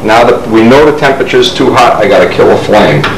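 A man's voice: medium pitch, a little fast, creaky. A middle-aged man casually explaining a hobby technique while he works at his kitchen stove, filmed on a camcorder. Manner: rambling, practical, talks while doing. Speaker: model builder showing a dyeing technique. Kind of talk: casual how-to narration while working. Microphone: camcorder mic, filming handheld. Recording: echoey kitchen with noisy camcorder hiss.

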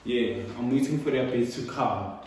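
A man's voice: mid-range voice, normal speed, recorded from a distance, background noise. Laid-back young man in echoey empty rooms. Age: young adult. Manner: laid-back slangy talk, repeats "you feel me", "type shit". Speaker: YouTuber giving a house tour. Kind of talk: casual vlog tour. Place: large bare-walled house, indoors. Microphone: phone camera held by someone else, from a distance.

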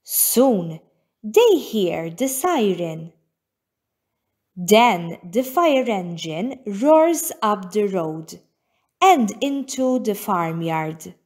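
A woman reading a children's storybook aloud as narrator, with deliberate, careful phrasing. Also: mid-range voice, very animated, a little mellow.

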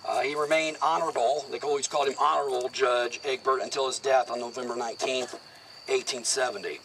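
A middle-aged man talks a group through a cemetery in a plain, casual, explanatory way, with a medium-pitched voice. He is recorded outdoors from a camera some way off. Faint crickets and open-air noise sit behind him. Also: steady tone, a little fast, fairly bright tone, poor audio. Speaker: cemetery tour guide. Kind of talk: outdoor walking tour talk. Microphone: far camera, no mic.

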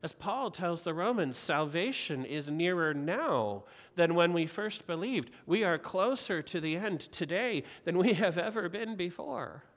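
Middle-aged male pastor, earnest exhorting sermon, slightly high voice, echoing church hall. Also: expressive, a little slow, hardly any pauses. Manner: earnest, exhorting, uses repeated rhetorical questions. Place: large church sanctuary. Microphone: pulpit mic. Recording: long reverb of a church hall.

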